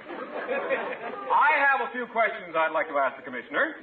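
An actor playing a sly, persistent heckler in a 1950s radio sitcom, pointed and mock-polite. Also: male voice, sits high, big pitch swings, unhurried, a faint crowd. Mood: sly needling. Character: needling, persistent male heckler grilling officials. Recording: thin narrow-band old radio recording.

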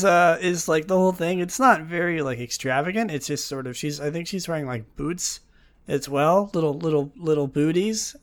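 A young man with a sick, raspy, groggy voice, hosting a casual news podcast and rambling with many fillers and restarts. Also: fairly high pitch. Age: young adult. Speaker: podcast host.

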